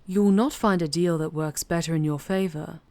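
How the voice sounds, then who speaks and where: A woman voicing a seductive, proud, ancient female demon in an ASMR audio roleplay, speaking formally and deliberately with a teasing, commanding charm. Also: fairly low pitch, steady tone, darkish tone, dry recording, lightly acted. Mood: bargaining, solemn.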